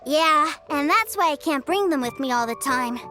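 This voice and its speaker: A small, wide-eyed boy in a video game speaks in a very high, lively voice. He is innocent and eager, always spotting something new to see. Game music plays underneath. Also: normal speed. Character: curious little boy, eager and wandering. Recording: game audio with music behind.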